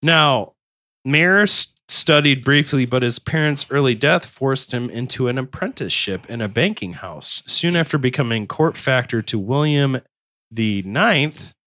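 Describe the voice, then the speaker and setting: Joking podcast host, dry close sound. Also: male voice, mid-range voice, lively intonation, measured pace, no room sound. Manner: jokey, slips into loud silly voices. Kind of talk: casual chat mixed with reading history aloud.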